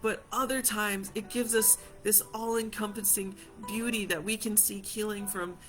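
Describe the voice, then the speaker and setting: Female community healer, gentle and reassuring, repeats key phrases, mid-pitched, guitar music behind. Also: fairly even intonation, moderate pace. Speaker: community healer hosting a live session. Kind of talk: gentle reflective guided talk to viewers.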